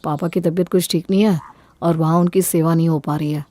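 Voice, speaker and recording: A woman's voice: low-pitched, steady tone, brisk, mellow, dry recording, close to an everyday voice. A woman voicing a mild, accommodating young husband and devoted son in an audio story, with a reassuring manner. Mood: mild, conciliatory. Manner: conciliatory, reassuring.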